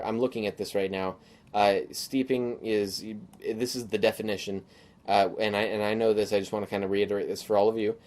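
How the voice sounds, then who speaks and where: A young man hosting a solo podcast, rambling casually with lots of fillers and restarts, his voice a little hoarse from a sore throat. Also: on the low side, a little mellow. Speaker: podcast host.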